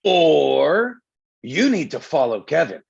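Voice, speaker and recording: Brash cartoon animal sidekick , male actor: bossy, kooky, lively swings, higher register. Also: slow, gravelly, breathy edge, lo-fi sound, full character voice. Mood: scheming. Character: pushy, kooky, attention-hungry animal best friend. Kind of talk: cartoon table read.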